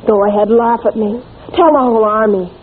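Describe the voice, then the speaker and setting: Young romantic manicurist played by a woman, high voice, wry and melodramatic, old-time radio drama through a tinny band. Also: brisk, a little hiss or hum, lightly acted. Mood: wounded. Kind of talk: 1940s radio play acting.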